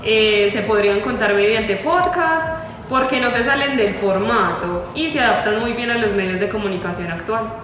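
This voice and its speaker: A woman's voice: mid-range voice, expressive, normal speed. A woman giving a short opinion as a viewer in a recorded testimonial. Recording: echoey hall, distant, noisy ambience.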